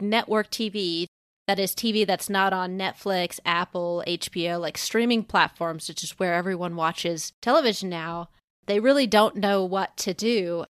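Female guest co-host, mid-pitched, wry and conversational, casual podcast discussion, dry recording. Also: fairly bright tone, clean tone, no room sound.

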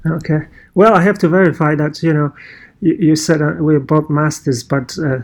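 A man's voice: on the high side, fairly even intonation. A man from Poland with a Polish accent, telling his own story in casual conversation and often restarting his sentences. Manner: halting, many restarts and fillers.

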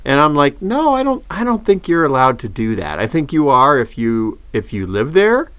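A man's voice: on the high side, big pitch swings, normal speed, fairly bright tone, clean tone, no echo. A middle-aged man co-hosting a podcast, chatting casually and rambling through stories with many restarts. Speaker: podcast co-host. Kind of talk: casual chat.

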